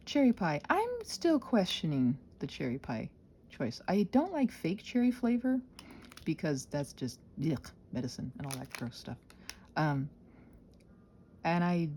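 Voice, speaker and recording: A woman's voice: mid-range voice, dark tone, a little hiss or hum. A woman chatting casually in a playful, self-deprecating, tangent-prone ramble, expressively acting out her own thoughts. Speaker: ASMR and audio content creator.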